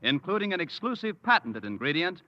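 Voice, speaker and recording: Booming reverberant radio ad voice. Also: male voice, big pitch swings, a little fast, pushed and bright. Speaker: commercial announcer. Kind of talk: 1950s radio ad reading. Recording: dull old radio, long reverb.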